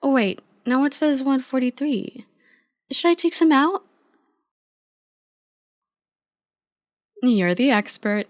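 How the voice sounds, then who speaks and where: A woman's voice: sits high, lively intonation, a little slow, no echo, studio quality. A woman playing an affectionate, playful girlfriend in an ASMR roleplay, chatty, teasing and supportive as an eager but clumsy baker. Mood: playful, amused.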